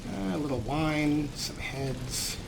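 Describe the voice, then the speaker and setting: A man's voice: on the high side, slow pace, creaky. A man hosting a let's play with casual, chatty commentary on the game. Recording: noisy, game sound behind voice. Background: video game ambience.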